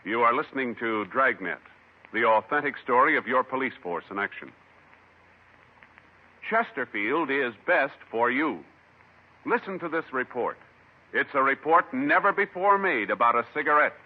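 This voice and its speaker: Husky, sweeping American radio announcer. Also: male voice, medium pitch, lively intonation, normal speed. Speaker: program announcer. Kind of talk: formal 1950s radio announcing. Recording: muffled 1950s radio recording.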